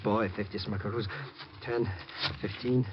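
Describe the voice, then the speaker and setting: An actor playing an excitable, cowardly small-time hustler who stammers out slang, in 1950s radio drama style. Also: male voice, lowish voice, a little slow, a little hiss or hum, lightly acted. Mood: greedy, rambling. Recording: muffled vintage radio broadcast.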